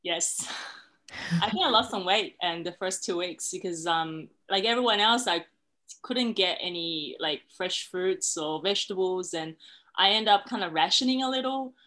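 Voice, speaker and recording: Bright-voiced woman guest in her thirties. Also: lowish voice, measured pace. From China, raised in Australia. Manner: open, chatty storyteller. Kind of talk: casual podcast conversation.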